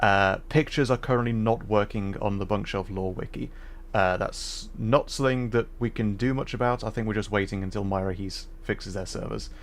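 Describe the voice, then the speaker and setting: Lowish-voiced man chatting on livestream. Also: fairly even intonation, normal speed. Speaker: livestream host, VTuber. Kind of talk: casual livestream chat while gaming. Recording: faint background noise.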